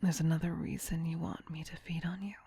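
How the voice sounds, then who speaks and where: A woman voicing a tender, possessive vampire queen in a soft, breathy, soothing ASMR whisper. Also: low-pitched, flat delivery, a little hiss or hum. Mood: softly curious. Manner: slow, doting, soothing.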